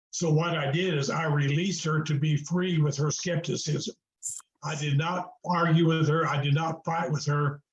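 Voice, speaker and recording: An older man speaking as a real estate coach, talking slowly and folksy with many fillers over a video call. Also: on the high side. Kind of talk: casual video call chat. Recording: echoey dull video call audio.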